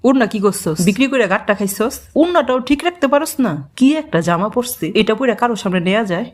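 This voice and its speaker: A woman's voice: fairly high pitch, expressive, fast, lightly acted. A woman voicing a hot-tempered scolding mother. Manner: scolding, nagging. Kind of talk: cartoon voice acting.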